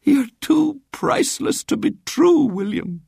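A man voicing a frail, mischievous elderly great-aunt in a story reading, with a shrill, gleeful voice. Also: sing-song, measured pace, creaky, darkish tone, no room sound, studio quality, heavily acted. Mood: amused. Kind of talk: audiobook character voice.

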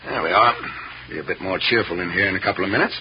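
Low-voiced, protective American man in radio drama. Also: very fast. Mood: welcoming. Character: Paul, protective, troubled man of a family. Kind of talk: 1950s radio serial acting. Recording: muffled old radio recording with noise.

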